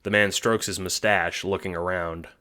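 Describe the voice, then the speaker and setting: A man's voice: deep voice, steady tone, moderate pace, pushed and bright. A man narrating a scripted story in the second person, in the style of an audiobook.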